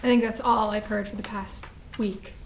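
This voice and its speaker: A woman's voice: mid-range voice, steady tone, normal speed, a little hiss or hum, natural voice. A woman playing a wounded, prickly younger sister in grief, in a staged play reading. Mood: subdued.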